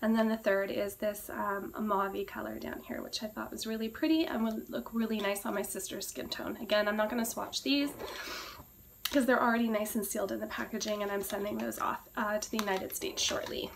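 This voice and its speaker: A woman with a hoarse, cracking voice she says she is losing, chatting casually through a beauty product review and often clearing her throat. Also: medium pitch, fairly even intonation, brisk, background noise. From Canada. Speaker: beauty vlogger.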